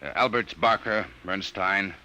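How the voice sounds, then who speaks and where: Deep, forceful army major. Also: male voice, a little slow, heavily acted. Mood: dutiful. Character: dutiful male army major. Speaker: actor. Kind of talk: 1950s radio drama acting. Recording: muffled, slightly noisy old radio recording.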